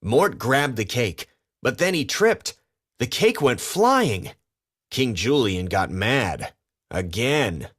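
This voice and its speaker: Guy narrating a kids storybook, slow pace, super animated up and down delivery. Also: mid-range voice, husky. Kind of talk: scripted children's storybook narration.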